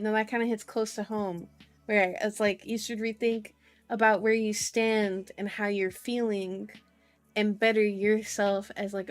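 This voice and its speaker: A woman hosting a casual livestream, chatting and musing aloud as she reads out cards, often drawing out her words. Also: medium pitch. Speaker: livestream host reading tarot and horoscopes. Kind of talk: casual livestream chat mixed with reading aloud.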